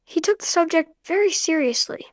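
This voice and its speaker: A young boy, eager, plain-spoken, high, dry. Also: expressive, brisk, no room sound. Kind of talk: radio drama acting.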